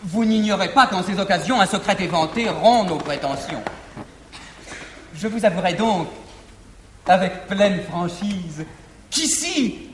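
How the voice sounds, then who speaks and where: An actor playing an earnest, lovestruck young gallant in a 1950s verse stage comedy. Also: male voice, high voice. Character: young lovestruck gallant man, eager and open. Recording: old theater recording, echoey hall, faint hiss.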